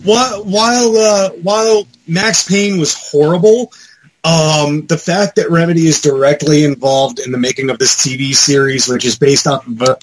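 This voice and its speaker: A man's voice: a little high, a little hiss or hum, rough recording. A man talking casually and enthusiastically as a podcast panelist, with some swearing. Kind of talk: casual chat about movies and games.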